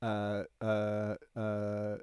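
A man's voice: fairly low pitch, monotone, extremely slow, darkish tone, clean tone, no echo. Chatty man telling stories, dry sound. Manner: chatty storyteller, laughs often. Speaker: podcast co-host. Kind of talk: casual chat.

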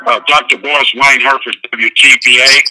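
Male reporter with a very forceful, bright voice. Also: medium pitch, steady tone, normal speed, low-quality recording. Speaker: television reporter. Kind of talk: asking a question on a press call.

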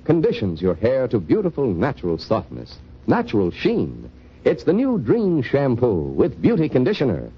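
A husky man delivers a vintage radio advertising pitch. His intonation swings widely, and the old recording is dull. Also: wildly swooping pitch, moderate pace. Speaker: commercial announcer.

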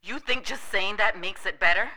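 A woman's voice: medium pitch, normal speed, a crowd in the background, lightly acted. An actress playing a wounded adult daughter, sarcastic and accusing as she confronts her father in an audio drama. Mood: bitter, scornful.

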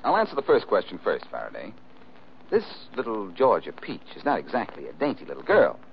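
An American actor playing a cool, sardonic amateur detective in a 1940s radio mystery, glib and self-assured, on an old recording. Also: male voice, fairly low pitch, wildly swooping pitch, fairly quick, gravelly, exaggerated voice. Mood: confident, sardonic. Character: wisecracking, cool-headed amateur detective man, quick and sardonic. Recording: dull, hissy old-time radio recording.

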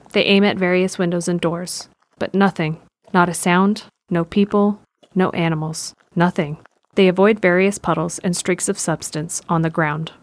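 A woman reading scripted narration for an audio drama. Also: lowish voice.

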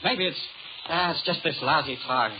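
A man's voice: fairly high pitch, moderate pace, muffled recording, close to an everyday voice. A man acting a jittery, whining small-time gangster who stammers with fear in a 1930s radio thriller, on an old noisy recording. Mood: scared, pleading. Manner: whining, stammering, nervous slangy talk.

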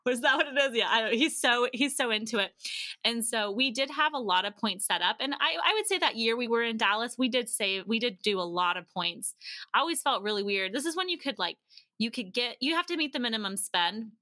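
Bright, high-voiced woman telling casual stories. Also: brisk, no room sound, clean studio sound. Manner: animated, peppers stories with quoted dialogue. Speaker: podcast guest. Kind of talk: casual conversational storytelling.

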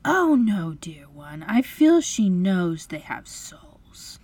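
A woman voicing a gentle, placid, loving wife and mother with a soft, breathy, soothing delivery. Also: very high voice, big pitch swings, normal speed, no room sound, pitched above the performer's own voice.

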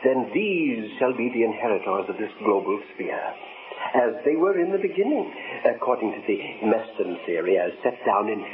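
An actor in a 1950s radio drama playing an earnest elderly former professor turned doomsday crank, speaking in fervent, oratorical style. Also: male voice, fairly low pitch, big pitch swings, moderate pace, phone-quality sound. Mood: prophetic fervor. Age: older. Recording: noisy, thin old radio broadcast.

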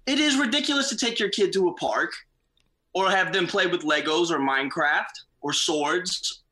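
A young man from the United States, a guest in a casual chat, joking with deadpan sarcasm and laughing at himself. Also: high-pitched, moderate pace, low-quality recording.

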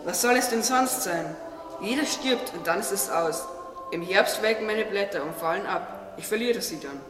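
A man plays a naive, wondering tree in a radio play. The voice is strikingly high, earnest and hesitant. It comes from some distance in a reverberant hall, music playing behind. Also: animated, normal speed. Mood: doubting wonder. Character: naive, wondering, gentle young-hearted tree. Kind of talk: German religious audio drama acting. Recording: echoey hall, distant mic, music underneath.

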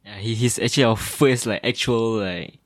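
A young man co-hosting a podcast, talking in a loose, halting way with many restarts. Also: lowish voice. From Singapore. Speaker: podcast co-host. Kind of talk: casual interview chat.

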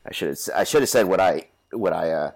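Nasal, stuffed-up male podcast host, low voice, rambly and casual. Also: lively intonation, fast, vocal fry, darkish tone. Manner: rambling, many fillers and restarts. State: stuffy from a cold. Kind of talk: casual chat.

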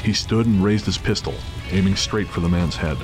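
A low-voiced man narrates a suspense story in a dramatic, scripted style. Music and rain run clearly beneath his voice. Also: normal speed, rough recording.

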